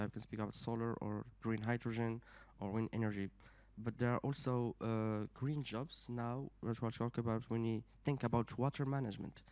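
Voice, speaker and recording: A man speaking as a panelist, explaining in a measured way with some hesitations. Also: medium pitch, a little mellow, dry recording. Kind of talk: panel discussion answer.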